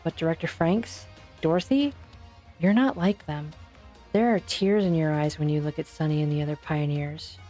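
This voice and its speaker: A woman voicing a principled, resolute physician in an amateur fan dub, speaking in an earnest, deliberate way. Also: deep voice, warm tone, faint music behind, natural voice. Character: principled, observant female physician, calm and resolute.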